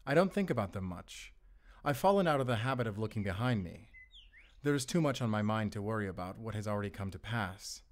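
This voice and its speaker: A murderous male werewolf diarist, voiced by a man in a dramatic audio monologue. The low voice is solemn and ominous, weighing each phrase with brooding, fanatical conviction and disdain for humanity. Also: animated, fairly quick, natural voice.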